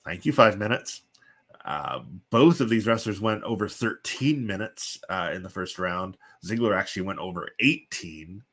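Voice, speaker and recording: A man with a congested, sniffly voice from a chest cold, hosting and giving casual, halting play-by-play commentary on simulated wrestling. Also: deep voice, lively intonation, a bit bright. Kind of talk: casual live play-by-play commentary with chatty asides.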